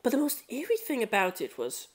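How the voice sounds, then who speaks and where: Expressive audiobook narrator, mid-range voice. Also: mid-range voice, fairly quick. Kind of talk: scripted story narration.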